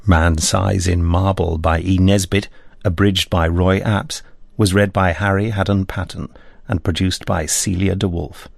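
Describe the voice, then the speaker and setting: A man delivers a scripted radio announcement. His voice is low and distinctly creaky, with a very dry, close studio sound. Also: steady tone, measured pace, no echo.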